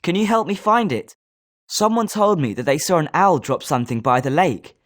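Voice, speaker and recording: A man's voice: fairly high pitch, lively intonation, moderate pace, close to an everyday voice. Young British schoolboy wizard played by a man. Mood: friendly, polite. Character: schoolboy wizard student, polite but sometimes sneering. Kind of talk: scripted video game character lines.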